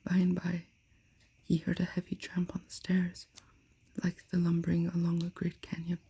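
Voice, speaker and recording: A woman softly whispering a fairy tale aloud, as in an ASMR reading. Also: fairly low pitch, monotone, normal speed. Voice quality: breathy. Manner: soft unvoiced whisper. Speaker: storyteller.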